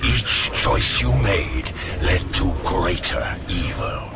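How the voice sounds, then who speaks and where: Video game villain: ancient death spirit, gravelly and drawn-out, grandiose, archaic, accusing menace. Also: male voice, exaggerated voice. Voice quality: very deep, gravelly. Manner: solemn, archaic, grandiose pronouncements. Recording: loud music under the voice.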